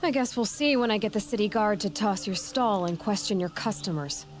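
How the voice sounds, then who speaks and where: A woman playing a determined young huntress in a video game, speaking in a measured way as if thinking aloud. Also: medium pitch, faint music behind, lightly acted. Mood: accusing. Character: determined young female huntress tracking a thief.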